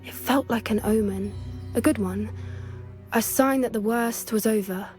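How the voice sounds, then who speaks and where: A woman voicing a kind, earnest teenage witch in a video game, with a British accent and a gentle, confiding manner. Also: fairly high pitch, measured pace, faint music behind, close to an everyday voice. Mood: confiding, wistful. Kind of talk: video game cutscene acting.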